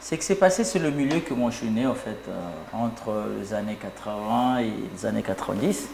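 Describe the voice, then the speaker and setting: A man's voice: mid-range voice, a little fast, vocal fry. A man from Kinshasa, a stylist guest on a talk show, chatting and paying compliments. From Kinshasa, DR Congo. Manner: flattering, chatty, warm compliments. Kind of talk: casual talk show interview. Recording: echoey room with faint noise.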